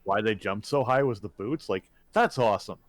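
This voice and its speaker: A man's voice: on the low side, normal speed, dry recording, studio quality. A man co-hosting a podcast, chatting casually and reacting in short, informal phrases. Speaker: podcast co-host.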